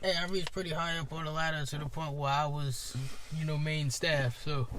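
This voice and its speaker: Young Newark artist, high-pitched, blunt and slangy, casual interview guest. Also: male voice, moderate pace, a little hiss or hum. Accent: New Jersey. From Newark, New Jersey. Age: young adult. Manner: blunt, swears freely, animated slang.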